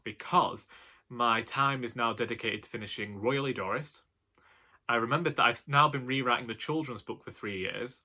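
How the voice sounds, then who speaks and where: A man's voice: fairly low pitch, bright, forceful tone. A young man, an author, talking casually and wryly about his writing plans and questioning himself as he goes. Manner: musing, self-questioning, wry. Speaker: author and book vlogger. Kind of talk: casual reflective monologue.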